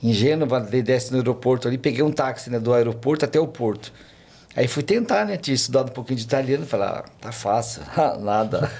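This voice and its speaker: A man's voice: mid-range voice, animated, fast, darkish tone. A man, a podcast guest telling travel stories in a rambling casual way, full of fillers and restarts. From Brazil. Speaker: podcast guest, tour guide. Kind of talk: casual chat, storytelling.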